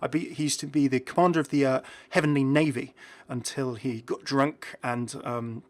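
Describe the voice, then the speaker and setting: A man's voice: mid-range voice, fairly even intonation, moderate pace. A man giving casual, enthusiastic game commentary in an informal, chuckly way. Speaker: video creator presenting game content.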